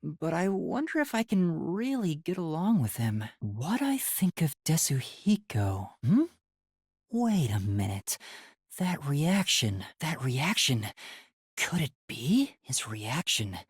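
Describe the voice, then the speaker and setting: A man voicing a timid, earnest teenage boy detective in a video game, with a soft youthful voice and a hesitant, polite, stammering way of talking. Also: on the high side, sing-song, slow pace, breathy edge, no room sound, clean studio sound, heavily acted. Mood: puzzled. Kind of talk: English video game voice acting.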